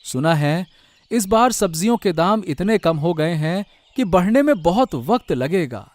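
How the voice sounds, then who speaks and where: A struggling village vegetable seller acted by a man in a cartoon story. He sounds worried and complaining, in a fairly high voice. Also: lively intonation, measured pace, a little mellow, no echo.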